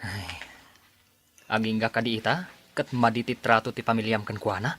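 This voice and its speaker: An actor playing a supportive male friend who gives calm advice in a radio drama. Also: on the low side, normal speed. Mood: advising.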